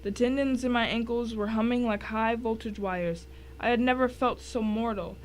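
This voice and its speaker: A woman's voice: on the high side, fairly even intonation, normal speed, a little hiss or hum, poor audio. A woman giving a dramatic first-person reading of a short story as one of its narrators.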